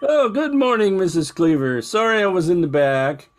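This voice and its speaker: A man's voice: sits high, big pitch swings, normal speed. A man playing a harried, polite small-town bakery owner in an old-time radio drama, earnest and hesitant. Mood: polite, apologetic. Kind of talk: 1940s radio drama acting.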